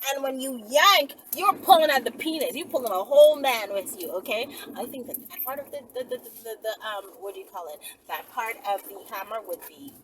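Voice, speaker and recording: A woman's voice: expressive, a little fast, hardly any pauses, a bit bright, distant mic. A woman chats casually to viewers in a blunt, slangy, playful way. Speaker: vlogger answering viewer questions. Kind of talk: casual, rambling chat to viewers. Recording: noisy vehicle rumble, voice off mic.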